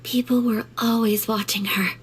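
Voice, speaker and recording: A woman voicing a refined, gentle young noblewoman in an animated video game, speaking formally and with composure. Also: on the high side, moderate pace, breathy edge. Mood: solemn.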